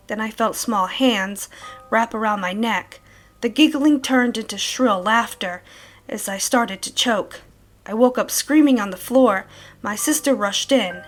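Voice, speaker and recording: A woman playing a troubled woman who gives a measured first-person diary account of night terrors in a horror story. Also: on the high side, fairly bright tone. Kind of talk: scripted horror story narration.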